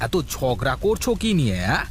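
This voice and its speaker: Animated, exasperated village husband, played by a man. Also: mid-range voice, a little fast, a little hiss or hum, natural voice. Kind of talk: Bengali cartoon story acting.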